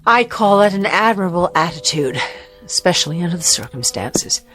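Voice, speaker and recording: A guarded nervy lady, kinda sardonic, lowish voice that moves around a lot, radio drama. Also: animated, normal speed, fairly bright tone, soft background music, poor audio. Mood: uneasy, wry. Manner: guarded, dryly sardonic, hesitant. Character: wary, guarded female fashion designer, anxious, sardonic. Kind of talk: naturalistic radio drama acting.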